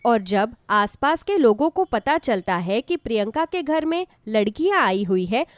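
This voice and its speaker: A woman's voice: on the high side, very animated, a little slow, no room sound. A woman narrating a scripted devotional story as a storyteller.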